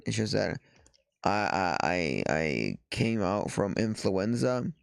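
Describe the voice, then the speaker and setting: A man hosting a softball show, talking casually and reading news aloud, with a stuffy nose after the flu and a halting delivery full of fillers and restarts. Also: lowish voice, big pitch swings, gravelly, clear, resonant tone, no echo, clean studio sound.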